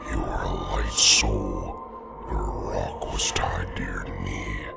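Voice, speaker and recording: A man voicing a sinister, inhuman ferryman who speaks in slow, cryptic riddles. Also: a bass voice, little pitch movement, creaky, heavily acted. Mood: menacing. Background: loud music.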